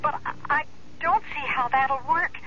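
A meek, nervous wife in an old radio play. Her voice is high and bright, hesitant and submissive, on a dull, faintly noisy broadcast recording. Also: a little slow. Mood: meek, uncertain. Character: anxious, meek, obedient wife drawn into a swindle. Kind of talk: old-time radio drama acting. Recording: dull vintage radio sound, faint noise.

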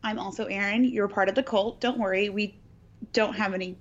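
A woman's voice: mid-range voice, fairly even intonation, fairly quick, highs cut off. A woman co-hosting a podcast in casual, profane, joking chat, with a scratchy, partly lost voice. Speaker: podcast co-host.

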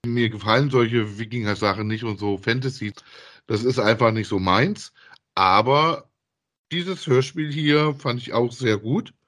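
A man's voice: medium pitch, unhurried, a bit bright. A man co-hosting a podcast, chatting casually in a rambling, repetitive way as he reviews. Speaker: podcast co-host.

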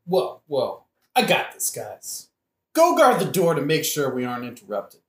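A woman's voice: low voice, wildly swooping pitch, normal speed. A woman playing a hot-headed, foul-mouthed young male avenger in a satirical audio play, brash and blustering. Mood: angry, commanding. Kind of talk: satirical modern-language audio play acting. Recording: roomy, echoing audio-play recording.

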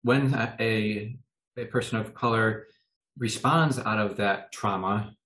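A man with a fairly low voice, speaking in a thoughtful, affirming way during a casual talk. His voice carries a noticeable room echo. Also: takes it slowly, fairly bright tone. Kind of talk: casual podcast discussion.

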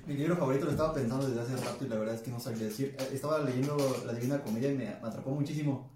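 Late-teen Mexican male co-host, relaxed slangy chatter, far from the mic, very roomy sound. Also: medium pitch, very fast. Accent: Mexican Spanish. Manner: laid-back slangy banter, laughs often. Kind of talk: casual chat. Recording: echoey room, mic at a distance, faint noise.

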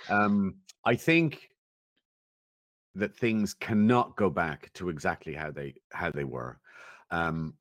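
A man giving considered opinions as a guest in a conversational interview, thoughtful and measured, often pausing as he goes. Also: low voice, no echo, clean studio sound. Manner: thoughtful, measured, halting with pauses. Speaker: interview guest, technology commentator.

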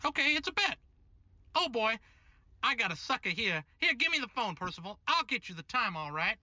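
Acted tipsy New man, radio drama, very sharp tone, animated pitch, slangy, cocky, slightly dull recording. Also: brisk. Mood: cocky, argumentative. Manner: slangy, boisterous, repeats himself. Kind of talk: old-time radio drama acting.

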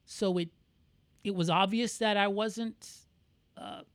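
A man with an American accent co-hosting a podcast, talking in a candid, reflective and measured way. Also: high-pitched, fairly even intonation, fairly bright tone, dry recording. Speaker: podcast co-host. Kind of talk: casual conversational podcast.

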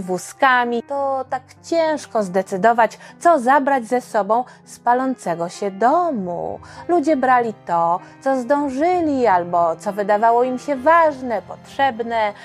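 A woman narrates for young listeners in a high, very expressive voice over music. She takes her time and speaks dramatically yet conversationally, as a storyteller would. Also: slightly rough. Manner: dramatic, conversational, with rhetorical questions. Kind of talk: expressive scripted storytelling for children.

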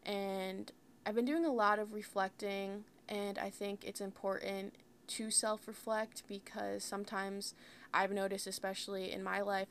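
Young woman, flat creaky casual chat. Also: medium pitch, slight background noise. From United States. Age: about 20. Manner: candid, rambling, heavy use of "like". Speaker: podcast host. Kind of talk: casual solo podcast talk.

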